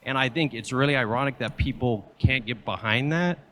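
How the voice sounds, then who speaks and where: A man in a debate making his point haltingly, with many fillers. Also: mid-range voice, bright, forceful tone, a little hiss or hum. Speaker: debate participant. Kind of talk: debate argument.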